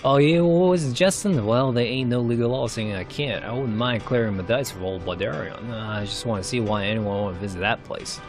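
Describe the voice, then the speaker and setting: A man's voice: medium pitch, normal speed, few pauses, over music, close to an everyday voice. A man voicing a crude, superstitious pirate sailor in a fantasy game, grumbling in folksy, ungrammatical sea talk. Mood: boastful, grumbling. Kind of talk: narrated fantasy game dialogue.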